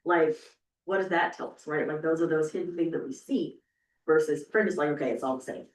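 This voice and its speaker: A woman's voice: low-pitched, fairly quick. Woman, panel talk, chatty, checks in with "right?", roomy hall, far from mic. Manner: thinking aloud, frequent "like" and "right?". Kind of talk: panel discussion.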